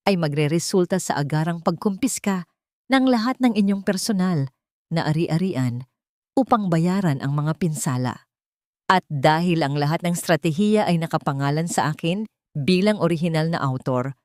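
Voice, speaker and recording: A woman with a Filipino accent voicing a betrayed wife who speaks calmly and coldly in an audio storybook drama. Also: fairly low pitch, no echo, clean studio sound, natural voice. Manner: calm, cold, measured. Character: calm, cold betrayed wife, quietly vengeful.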